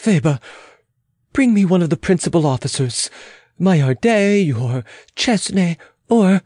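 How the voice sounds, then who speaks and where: A man's voice: sits high, lively intonation, unhurried, darkish tone, no room sound, studio quality, voice pushed up. A man voicing a proud, imperious and agitated queen in a dramatic audiobook, haughty and exclamatory. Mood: indignant, imperious. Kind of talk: dramatic audiobook character reading.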